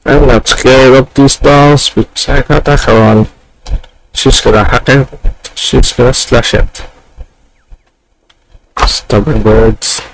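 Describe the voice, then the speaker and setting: A man's voice: mid-range voice, fairly even intonation, slow pace, creaky, long pauses, a little hiss or hum, rough recording. A man giving casual gameplay commentary as a gaming streamer, in short offhand remarks.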